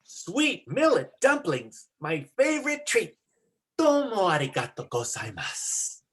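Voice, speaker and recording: A young folktale hero acted by an amateur reader, earnest and brave. The delivery is very slow and deliberate, with a solemn yet highly varied melody. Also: huge pitch swings, a bit bright, husky, heavily acted. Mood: pleased. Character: earnest, brave fifteen-year-old boy hero. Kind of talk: amateur dramatic reading of a folktale.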